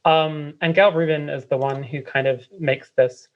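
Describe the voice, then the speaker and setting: A woman's voice: low voice, steady tone, moderate pace. A woman with a London accent giving casual, discursive, hesitant explanations as an interview guest. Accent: London English. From London, England. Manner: hesitant, discursive, frequent "like" and "kind of". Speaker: interview guest, writer and editor. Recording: slightly dull lo-fi audio.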